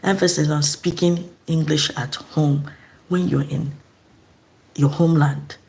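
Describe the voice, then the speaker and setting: A woman's voice: deep voice, steady tone, a little slow, vocal fry, a little mellow. A middle-aged woman from eastern Nigeria, speaking in a casual, earnest vlog monologue and asking reflective questions. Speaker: vlogger.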